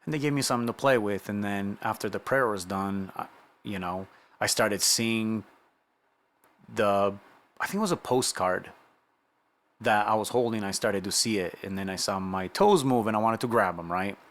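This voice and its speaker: A man's voice: on the low side, moderate pace, fairly bright tone. A middle-aged man from Romania telling a personal story in a casual, conversational way, often checking in with the listener. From Romania, moved to the United States at nine. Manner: conversational, checks in with listener with "you know" and rhetorical questions. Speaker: vlogger sharing personal testimony.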